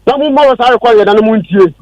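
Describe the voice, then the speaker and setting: Male caller, Ghanaian accent: high-pitched, dry sound, insistent and repetitive in a heated radio dispute. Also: fast, darkish tone, no echo, highs cut off. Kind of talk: heated argument.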